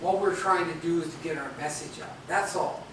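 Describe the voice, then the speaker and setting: Seasoned rebel mentor male stage actor, earnest and high-pitched, distant noisy videotape. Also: steady tone, brisk, very echoey, close to an everyday voice. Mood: earnest, reflective. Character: seasoned rebel elder and parent, steadfast, idealistic mentor. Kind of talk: stage play acting. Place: theatre stage, recorded from a distance.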